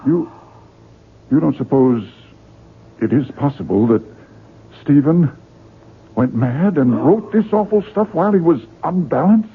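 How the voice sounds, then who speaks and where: An actor playing an earnest, courteous middle-aged book publisher who is easily exasperated, in 1970s radio drama style. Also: male voice, on the high side, expressive, measured pace, long pauses, breathy edge, full character voice. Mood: exasperated, incredulous. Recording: 1970s radio broadcast, narrow phone-like band.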